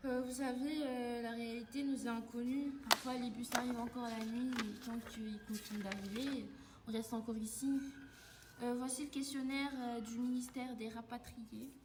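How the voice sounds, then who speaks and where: A woman's voice: on the high side, steady tone, moderate pace, distant mic, noisy background, lightly acted. A woman playing a formal repatriation clerk in a French audio drama.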